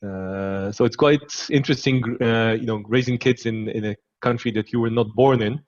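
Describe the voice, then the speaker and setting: A man in his forties, raised in Israel, talking as a guest on an interview in a relaxed, chatty and slightly halting way. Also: medium pitch, dull audio. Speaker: guest, security executive. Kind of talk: casual interview.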